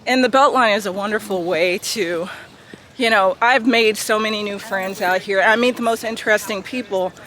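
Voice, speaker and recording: A woman's voice: mid-range voice, moderate pace, fairly bright tone. A woman hosting a casual interview outdoors as a lifestyle vlogger, enthusiastic and laughing often. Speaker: vlog host, lifestyle coach. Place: outdoors on an urban walking trail. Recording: faint outdoor street noise.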